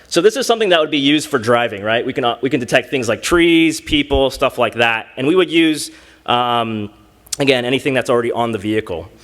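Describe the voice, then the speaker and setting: A man presenting his hackathon team's project on a conference stage, halting and full of fillers. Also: medium pitch, expressive, a bit bright. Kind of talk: live pitch presentation. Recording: long reverb from a hall.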